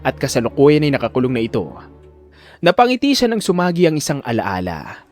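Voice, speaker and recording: A man's voice: mid-range voice, wildly swooping pitch, fairly quick. A man narrating a scripted audio drama in a suspenseful, theatrical manner. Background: music.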